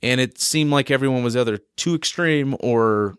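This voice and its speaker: A young man chats loosely in a podcast interview, leaning on fillers like "you know" and "man". His voice sits in a middle pitch. It is recorded close and dry. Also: normal speed, fairly bright tone, smooth, no echo. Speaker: musician guest. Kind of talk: casual interview chat.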